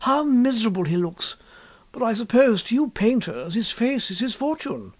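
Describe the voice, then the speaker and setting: A man voicing a good-natured, naive young gentleman in a storybook reading, with a mild, sympathetic manner. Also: extremely high pitch, big pitch swings, moderate pace, voice pushed up, full character voice. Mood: pitying.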